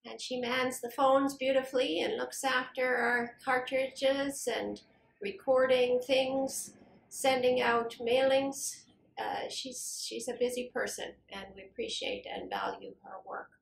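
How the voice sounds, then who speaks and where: A middle-aged woman interviewing a church staff member with gentle, measured questions in a small office. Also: high voice, animated, vocal fry. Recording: echoey office room.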